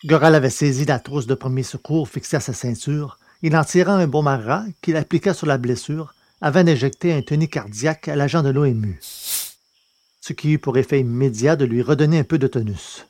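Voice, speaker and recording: Male audiobook narrator, mid-pitched, measured and steady, podcast novel reading. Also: dry recording. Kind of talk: scripted narration of a science-fiction novel.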